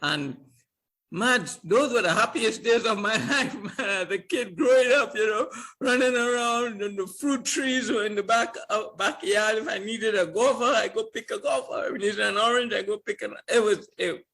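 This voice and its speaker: Older man, podcast guest, high voice, animated storyteller who chuckles and repeats himself. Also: normal speed. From Trinidad and Tobago. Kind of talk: casual storytelling interview.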